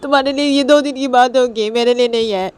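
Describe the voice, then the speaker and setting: A woman's voice: a little high, a little fast, clear, resonant tone, no room sound, voice pushed up, lightly acted. A woman voicing a tearful, clingy young mother, pleading repeatedly in a sobbing, whiny delivery. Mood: tearful accusing. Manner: repeats short pleas insistently.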